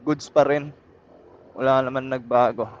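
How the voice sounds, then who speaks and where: A man's voice: medium pitch, fairly even intonation, unhurried. A man with a Filipino accent chatting casually as a motovlogger, talking through a helmet intercom microphone while riding. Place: outdoors on a motorcycle on the road. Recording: muffled helmet intercom mic.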